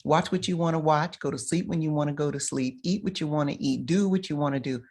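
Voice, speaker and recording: A woman's voice: low-pitched, quick pace, a little mellow. A middle-aged woman, a fitness and mind-body coach from the United States, speaking as a podcast guest in an emphatic, motivational way. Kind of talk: casual interview talk.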